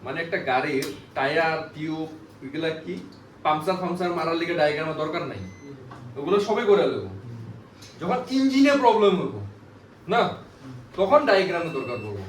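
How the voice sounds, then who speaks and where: A high-pitched man gives a practical classroom lesson, recorded from a distance in an echoey room. He explains emphatically, repeats himself and keeps throwing questions to the learners. There is faint noise behind him. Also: animated, fairly quick, long pauses. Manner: repetitive, emphatic teaching with frequent rhetorical questions. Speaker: phone repair instructor. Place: indoor classroom.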